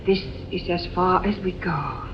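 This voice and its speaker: Young captive princess actress, 1940s radio adventure: brave, solemn, dramatic, dull hissy sound. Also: female voice, fairly low pitch, lightly acted. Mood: brave resignation. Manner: grave, dramatic, measured.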